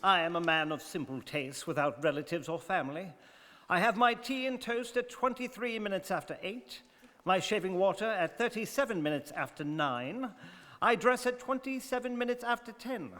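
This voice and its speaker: Exacting, high-pitched English gentleman played by a man. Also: expressive, moderate pace. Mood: imperious, exacting. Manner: precise, formal, imperious. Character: exacting, unflappable English gentleman of rigid habits. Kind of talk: stage radio drama acting. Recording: reverberant hall.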